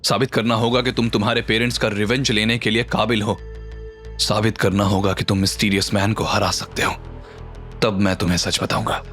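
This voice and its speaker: A man's voice: lowish voice, level tone, a bit bright, close to an everyday voice. Audio drama ally, performed by a man: composed, guarded, earnest male mentor, music behind. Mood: calm, firm. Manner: measured, earnest delivery.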